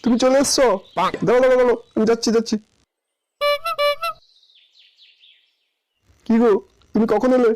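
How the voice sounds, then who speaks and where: A man voicing a scheming village husband in a comic cartoon, coaxing and flattering in hurried, repeated bursts. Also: very high voice. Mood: eager, sly. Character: wheedling scheming village husband who flatters his wife. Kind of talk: comic Bengali cartoon dialogue.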